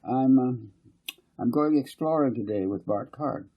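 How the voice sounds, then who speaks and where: A man voicing an earnest, excitable boy in an audiobook story. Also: mid-range voice, measured pace, highs cut off, voice pushed up, lightly acted. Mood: eager.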